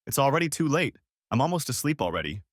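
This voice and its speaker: A man's voice: mid-range voice, big pitch swings, quick pace, dry recording, studio quality, pitched above the performer's own voice. A man voicing an adult wife who is sleepy and dismissive of her husband. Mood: irritated.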